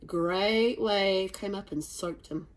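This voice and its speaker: A woman reading a children's storybook aloud with expressive, dramatic emphasis. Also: mid-range voice, slow pace. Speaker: storybook reader.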